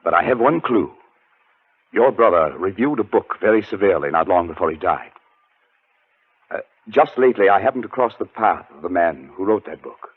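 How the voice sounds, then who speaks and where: An actor playing a frightened scholar in a 1940s radio suspense drama, narrating his terror in a dramatic, deliberate way that grows halting with nerves. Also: low voice, lively intonation, husky, no echo. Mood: grave, intent. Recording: muffled 1940s radio broadcast with music.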